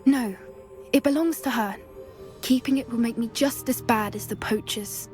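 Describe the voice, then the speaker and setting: Woman as an earnest, high-voiced British schoolgirl witch. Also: normal speed, a little mellow, close to an everyday voice. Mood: resolute. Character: earnest, determined teenage witch schoolgirl who loves creatures. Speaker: video game voice actor. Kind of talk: cinematic game dialogue acting. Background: background music.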